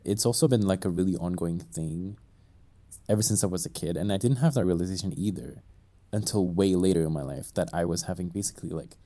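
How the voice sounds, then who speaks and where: Low mellow man, halting and reflective. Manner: halting, reflective, many fillers. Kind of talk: casual chat.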